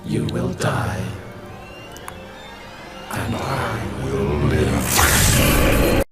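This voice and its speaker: An actor voicing an ancient, all-knowing evil beast in a science fiction drama, scornful and ominous. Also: male voice, a bass voice, sing-song, exaggerated voice. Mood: gloating menace. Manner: slow, ominous, contemptuous. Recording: loud music behind the voice.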